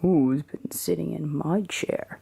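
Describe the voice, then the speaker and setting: A woman's voice: sits low, slow pace, gravelly, warm tone, husky, heavily acted. A woman whispering a grumpy papa bear.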